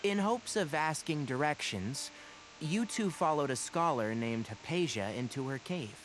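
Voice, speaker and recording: A man playing a brisk, dry-witted young forest ranger master in a video game, articulate, instructive and mildly exasperated. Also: fairly high pitch, animated, slight background noise, rough recording. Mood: calm, explaining.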